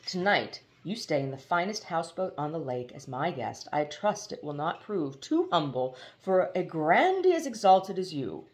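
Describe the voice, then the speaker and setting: A woman voicing a slick, pompous male political boss from a storybook, full of overblown, flattering politeness. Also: sits low, normal speed, few pauses. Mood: insulting politeness. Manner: unctuous, overblown formal politeness. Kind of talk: storybook character reading.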